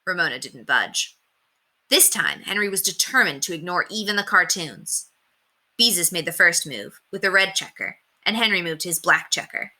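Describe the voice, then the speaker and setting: A woman reads a children's story aloud in a very bright, crisp-edged voice. Her pitch sits a little low but moves in lively, expressive swings. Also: normal speed. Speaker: read-aloud narrator. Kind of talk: scripted children's story narration.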